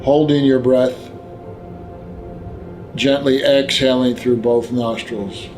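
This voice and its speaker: Male meditation guide, medium pitch, gentle and very slow scripted delivery with pauses, over background music. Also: fairly even intonation. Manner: gentle, unhurried instruction with long pauses.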